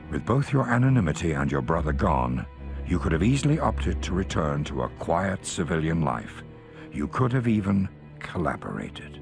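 An actor playing a courteous middle-aged intelligence colonel, giving a formal, measured briefing in a game cutscene. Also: male voice, very deep voice, gravelly, heavily acted. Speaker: intelligence officer briefing an agent.

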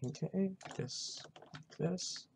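A gamer giving casual, halting roleplay commentary, muttering to themself while playing, recorded on a noisy home mic with keyboard clicks. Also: medium pitch, gravelly. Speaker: gaming video host. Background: background noise, keyboard typing and clicking.

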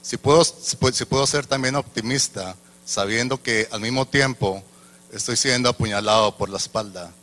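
A man's voice: medium pitch, moderate pace, a bit bright. A man in the audience of a conference hall, asking a hesitant question into a handheld mic. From Colombia. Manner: hesitant, searching for words. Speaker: audience member.

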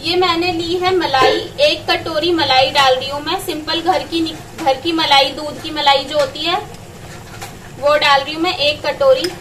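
A woman's voice: high-pitched, steady tone, a little slow, far from the mic, noisy background. A woman explaining a recipe step by step as she cooks in her home kitchen. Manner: step-by-step instructing. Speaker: home cook vlogger. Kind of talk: cooking demonstration.

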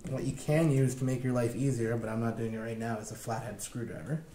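A young man casually walking viewers through installing a snowmobile accessory in a garage workshop, relaxed and plain-spoken with many fillers. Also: medium pitch, fast talker, a little hiss or hum. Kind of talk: casual step-by-step install walkthrough.

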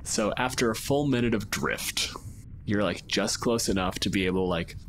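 A man's voice: lowish voice, normal speed, slight background noise. A man acting as game master, casually narrating a tabletop game with a sweary, teasing, informal manner.

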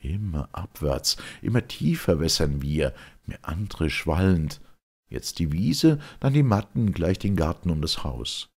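A man with a gravelly, husky voice reciting classical dramatic verse in a solemn, theatrical, measured manner. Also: low voice, big pitch swings, darkish tone. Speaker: reader of classic literature.

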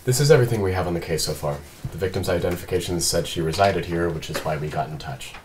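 A man acting a calm, precise android detective in a scripted drama read, speaking in a measured, formal way. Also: deep voice, slight background noise, lightly acted. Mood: businesslike. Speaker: actor reading an android detective at a table read.

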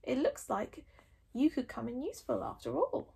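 A man's voice: extremely high pitch, big pitch swings, measured pace, voice pushed up, exaggerated voice. A woman voicing a stern, shrewd old male Viking elder. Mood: knowing. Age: older. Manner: stern, knowing.

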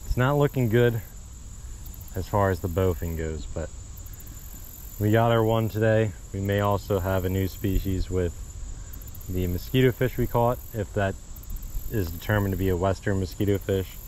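Male fishing vlogger, easygoing and chatty, lower voice, outdoor action-cam sound with faint crickets. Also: normal speed, long pauses, darkish tone, a little hiss or hum, rough recording. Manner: chatty, easygoing, thinking aloud. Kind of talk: casual outdoor vlog narration. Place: outdoors at a marsh pond. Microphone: action camera worn on body.

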